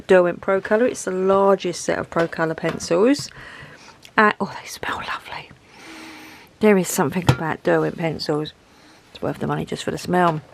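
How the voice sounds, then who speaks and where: A woman's voice: fairly low pitch, slight background noise. A woman with a head cold and a dry throat, chatting in a rambling, self-deprecating way as she shows off a craft haul at home. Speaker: craft vlogger showing a haul. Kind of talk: casual chat to camera.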